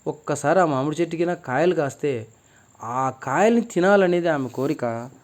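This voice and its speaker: A man's voice: on the high side, expressive, moderate pace, lightly acted. A man voicing a humble young male traveller in a children's story, respectful and a little hesitant. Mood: respectful, earnest. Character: humble, wary young male traveller seeking a guru's help.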